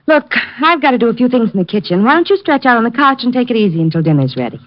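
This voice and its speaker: A calm, lightly teasing woman speaks with a lively, musical lilt. She sounds gentle and at ease. The sound is muffled like a 1950s radio broadcast. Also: a little high, rapid-fire. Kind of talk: 1950s radio drama acting.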